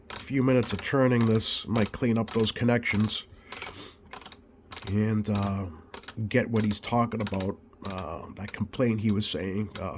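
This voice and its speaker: A man with a fairly low voice, a little scratchy as he gets over a cold. He talks like a repair technician hosting an informal video, unhurried and hesitant as he thinks aloud. There is clearly audible noise behind him. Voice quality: slightly scratchy. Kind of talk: informal bench demonstration vlog.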